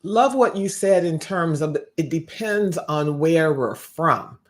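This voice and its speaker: A woman hosting an interview, asking reflective questions in a measured, somewhat formal way with careful phrasing. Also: deep voice, lively intonation. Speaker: interviewer and host.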